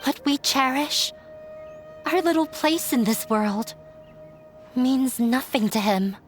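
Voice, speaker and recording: An actress voicing a troubled young woman who ran away from a harsh father, speaking in a soft, wistful, measured way in a video game. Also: high-pitched, lively intonation, faint music behind. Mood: bitter. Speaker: theater member.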